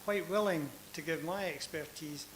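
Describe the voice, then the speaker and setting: A man's voice: on the high side, normal speed, fairly bright tone, slight background noise. Retired older man, hesitant, echoey hall. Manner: hesitant, restarts phrases. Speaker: audience member, retired accountant. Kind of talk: comment from the floor.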